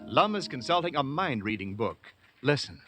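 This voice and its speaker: A man's voice: mid-range voice, sing-song, normal speed, music in the background. A man with an American accent announcing in a polished, emphatic, scripted 1940s radio style.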